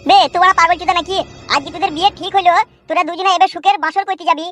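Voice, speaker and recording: A man plays a cheeky young cartoon friend who sulks and teases. His voice is bright and high, rattling along very quickly. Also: steady tone, faint music behind. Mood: indignant, teasing. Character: cheeky young male joker, touchy, sulky sidekick friend. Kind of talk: amateur comedy cartoon dub.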